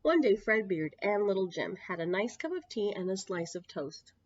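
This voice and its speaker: A woman's voice: medium pitch, very animated, normal speed, dry recording. A woman reads a children's story aloud in a mid-range voice. She leans on key words and stretches them out for effect. The sound is dry and a little lo-fi, with the top end dulled. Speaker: children's storyteller at a public library. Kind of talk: expressive picture-book read-aloud for young children. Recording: dull lo-fi, close dry sound.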